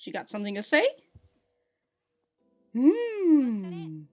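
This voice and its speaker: Woman, slightly high voice, reaction host, informal slangy commentary, animated delivery. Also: slow pace, faint music behind.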